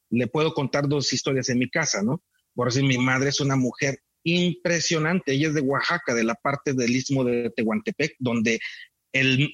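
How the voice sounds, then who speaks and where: A man's voice: mid-range voice, a bit bright, slightly rough, dry recording. A man, an interview host from Mexico, asks long, rambling questions with many repetitions. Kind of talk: interview conversation. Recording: dull, dry lo-fi audio.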